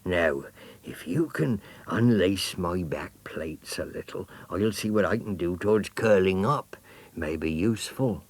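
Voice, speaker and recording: Guy as a slow polite tortoise, low voice, measured, kids storybook, dry sound. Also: dry recording. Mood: courteous, encouraging. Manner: measured, courteous. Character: slow, solid, mild-mannered male tortoise.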